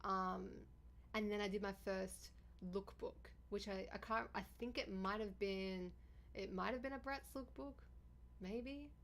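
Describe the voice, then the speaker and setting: Chatty streamer woman with a gravelly rasp. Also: fairly even intonation, a little slow, a bit bright. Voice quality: deep, raspy voice. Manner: chatty, bubbly, thanks viewers often. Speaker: livestreamer and YouTuber. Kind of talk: casual livestream chat with viewers.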